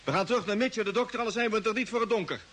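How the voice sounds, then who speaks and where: A man's voice: high-pitched, rapid-fire. A man playing a worried, sceptical, wisecracking space crewman in a vintage radio drama, stammering questions over a radio link. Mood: anxious. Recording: noisy, hissy old radio recording.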